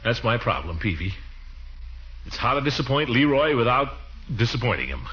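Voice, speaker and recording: An actor playing a pompous, blustery, soft-hearted middle-aged uncle in a 1940s radio comedy. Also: male voice, medium pitch, sing-song, normal speed. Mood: worried, indignant. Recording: muffled 1940s radio recording.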